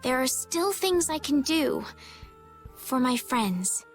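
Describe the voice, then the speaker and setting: A woman voicing a gentle, determined and earnest young heroine in a video game. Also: high-pitched, big pitch swings, takes it slowly, soft background music, heavily acted. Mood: earnest, pleading.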